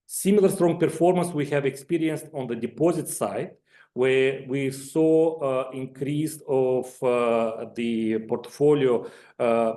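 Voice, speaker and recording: A man's voice: mid-range voice, dark tone. A man presenting financial results on a conference call as a finance executive. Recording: echoey conference call audio.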